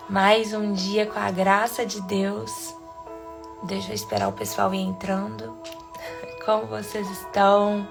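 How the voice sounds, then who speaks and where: Brazilian woman all stuffed up with a cold, chatty devotional livestream, soft music behind. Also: mid-range voice, creaky. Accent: Brazilian Portuguese. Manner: warm, chatty, soft laughs between phrases. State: congested with a cold. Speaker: devotional live host. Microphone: phone or webcam livestream.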